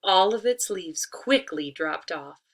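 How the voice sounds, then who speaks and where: A woman teaching and reading aloud to children, speaking deliberately and expressively and addressing them directly. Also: on the low side, expressive. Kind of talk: children's read-aloud, instructional storytelling.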